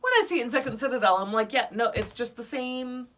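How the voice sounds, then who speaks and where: A woman's voice: fairly high pitch, a bit bright. Young female podcast co-host, playful, slightly distant sound. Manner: playful, teasing, quick to laugh. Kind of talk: casual podcast review chat.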